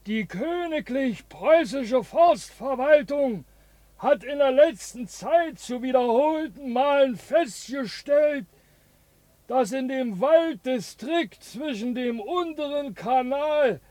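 An actor playing a pompous Prussian official, stiffly declaiming a formal public notice in a radio play. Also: male voice, very high voice, darkish tone, no echo, heavily acted.

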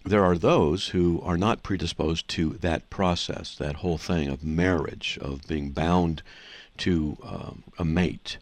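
A man's voice: low voice, steady tone, takes it slowly, hardly any pauses, no echo. A man hosting a call-in radio show, explaining scripture to callers in an assertive, teaching manner. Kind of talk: call-in radio Bible answers.

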